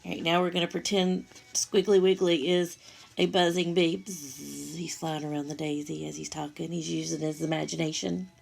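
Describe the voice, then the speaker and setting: A woman reading a picture book aloud to young children, with expressive, simple asides as she goes. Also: low voice, moderate pace, vocal fry, faint music behind. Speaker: storytime reader for young children.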